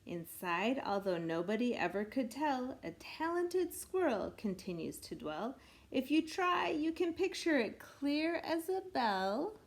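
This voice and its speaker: Woman, fairly high voice, storybook reader, expressive rhyming read-aloud, measured delivery for children.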